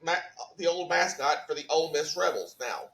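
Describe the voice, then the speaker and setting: A man from Mississippi, a podcast guest telling his stories in a measured, laid-back way. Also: on the high side, vocal fry, fairly bright tone, roomy sound, recorded from a distance. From Mississippi, USA. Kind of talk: casual interview answers.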